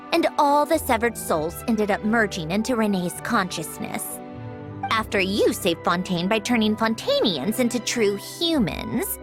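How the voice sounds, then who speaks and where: Haughty young female scientist, game character, highish lively voice, crisp superior delivery, music behind. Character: self-assured, haughty young woman scientist, brisk and superior. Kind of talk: scripted video game character dialogue.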